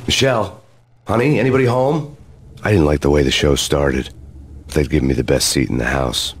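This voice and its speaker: An actor playing a grim, world-weary American police detective in a video game, speaking in measured, hardboiled style. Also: male voice, extremely low pitch, husky. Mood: alarmed. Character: brooding, world-weary New York police detective, man. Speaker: narrator and protagonist detective. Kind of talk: hardboiled noir scripted narration. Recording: music behind the voice.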